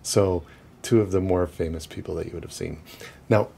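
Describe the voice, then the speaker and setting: A man's voice: low-pitched, steady tone, moderate pace, vocal fry, mellow, a little hiss or hum. A young man guiding a walking tour outdoors on city streets, chatty and casual, often laughing between points. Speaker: tour guide.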